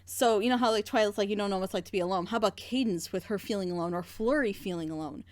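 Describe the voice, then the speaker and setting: A woman's voice: medium pitch, very fast, slight background noise. A woman hosting a casual group discussion, talkative and opinionated as she gives her critique. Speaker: host of a group reaction show.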